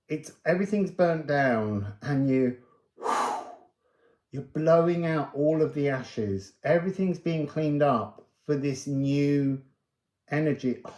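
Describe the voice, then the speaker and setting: A man with a mellow voice gives a spiritual card reading in an echoey room. He speaks very slowly and musingly, wandering from one thought to the next without a script. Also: a little high. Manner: musing, meandering, drifting between thoughts. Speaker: oracle card reader and host.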